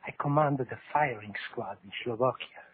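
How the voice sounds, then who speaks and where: An actor playing a guilt-ridden, broken German soldier in a 1940s radio horror drama, halting and brooding. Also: male voice, medium pitch, husky, close to an everyday voice. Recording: scratchy, narrow-band 1940s radio recording.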